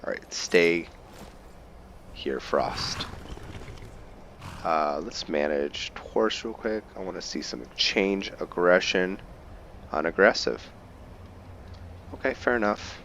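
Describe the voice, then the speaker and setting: Low-voiced, unhurried male gamer. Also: expressive, gravelly, fairly bright tone, slight background noise. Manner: thinking aloud to viewers, half in roleplay. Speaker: gaming video host. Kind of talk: casual let's play game commentary.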